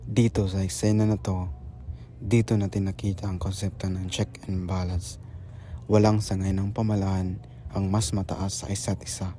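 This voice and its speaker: A man's voice: low voice, little pitch movement, normal speed, mellow. A man with a Filipino accent reading scripted explanatory narration. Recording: faint background noise.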